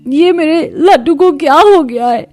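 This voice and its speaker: A woman voicing a proud, scornful young wife in a cartoon story, talking sharply in a high voice. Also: animated, unhurried. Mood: remorseful. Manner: sharp, haughty, raised voice.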